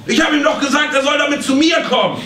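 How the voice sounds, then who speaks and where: A man's voice: very high-pitched, fast, slight background noise. A man playing a deranged, muddled and boisterous doctor, an incompetent psychotherapist in a live comedy radio play. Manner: loud, muddled, exclamatory. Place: small theatre stage. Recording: distant camera in echoey theatre.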